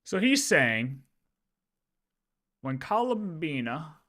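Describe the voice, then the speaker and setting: A man livestreaming in a casual, animated way, talking through lore with viewers, repeating phrases and often checking that they follow. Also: on the high side, takes it slowly. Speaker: streamer.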